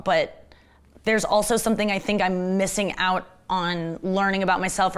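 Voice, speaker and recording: A woman with a husky, gravelly voice that sits a little low, worn and strained from injured vocal cords. She talks quickly and candidly, piling on fillers and self-deprecating asides. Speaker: comedian and interview guest. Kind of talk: casual fast-talking interview chat.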